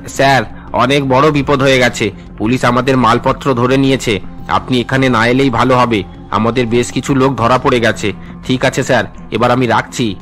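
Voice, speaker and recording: A man voices a dutiful male underling in cartoon melodrama. The voice is dropped lower and sounds deferential, with faint music behind. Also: fairly even intonation, normal speed, fairly bright tone, natural voice. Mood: worried, deferential. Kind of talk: cartoon drama dialogue.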